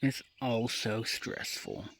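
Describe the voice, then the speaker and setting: Weary young man, slow halting diary talk. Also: mid-range voice, huge pitch swings, vocal fry, fairly bright tone, slight background noise, poor audio. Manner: weary, halting, trailing off with sighs. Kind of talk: personal audio diary monologue.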